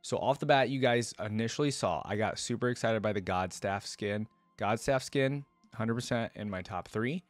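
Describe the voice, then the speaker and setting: Male reaction host: low-pitched, informal and enthusiastic, loose unscripted commentary, very dry sound. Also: normal speed, hardly any pauses, no echo, faint music behind. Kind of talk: casual off-the-cuff reaction commentary.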